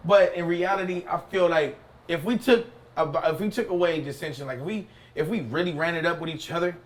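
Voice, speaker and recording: A man's voice: on the high side, fairly quick, slight background noise. A man from South Bay, Los Angeles, a barber guest, chatting casually in slangy, rambling talk with lots of "you know what I'm saying". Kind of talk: casual podcast chat.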